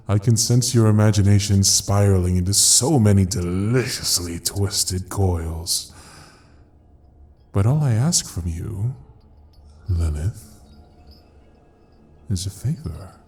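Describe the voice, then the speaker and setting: Ancient demon lord, audio roleplay: low, very unhurried, mocking, theatrical menace. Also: male voice, a little mellow. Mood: menacing bargaining. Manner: theatrical, drawn-out, teasing, menacing. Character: ancient mocking male demon lord of hell, amused, cruel. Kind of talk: fantasy audio roleplay acting.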